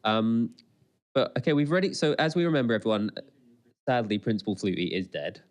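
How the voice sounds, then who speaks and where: Animated man co-hosting a commentary podcast. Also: medium pitch, fairly quick. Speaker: podcast co-host. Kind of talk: casual chat, TV episode commentary.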